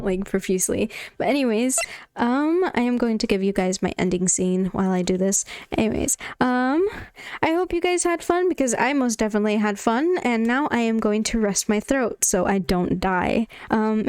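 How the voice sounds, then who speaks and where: A woman's voice: on the high side, darkish tone, clean tone, no echo, studio quality. A young woman whose first language is Spanish, chatting casually while playing a game and reading its lines aloud, often tripping over words. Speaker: streamer.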